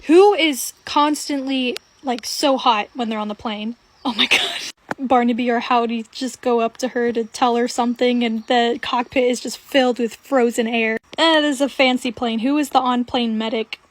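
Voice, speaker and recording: High-pitched, playful young woman, dry sound. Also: fairly even intonation, normal speed, no echo. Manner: giggly, playful, slangy. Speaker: video creator. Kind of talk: casual improvised commentary over fan videos.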